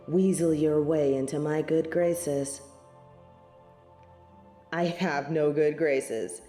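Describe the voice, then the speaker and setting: A woman's voice: sits low, dark tone, echoey room. A woman playing a snobby, scornful angel in a scripted audio roleplay, speaking in formal, archaic phrases with lofty disdain. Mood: haughty disdain. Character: snobby, haughty female angel of judgement, proud, scornful tsundere.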